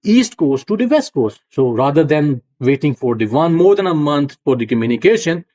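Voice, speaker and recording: A man's voice: on the high side, very animated, moderate pace, mellow, lo-fi sound. A middle-aged man from Punjab with a Punjabi accent, giving a university lecture and explaining ideas step by step while checking in with his students. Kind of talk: classroom lecture.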